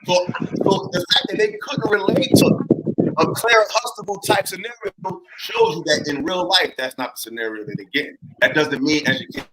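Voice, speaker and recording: An emphatic man on a live discussion panel, his pitch swinging widely as he argues point by point. He pushes back on others and leans on figures and examples. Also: animated, moderate pace, a bit bright, poor audio. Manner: emphatic, argumentative, cites data. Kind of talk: heated panel debate.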